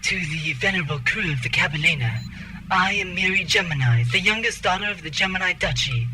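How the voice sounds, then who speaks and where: A woman's voice: on the low side, lively intonation, a little fast. A woman playing a young captive noblewoman who pleads theatrically over a radio transmission in an audio drama. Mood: pleading, urgent. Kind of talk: melodramatic audio drama acting. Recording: music behind the voice.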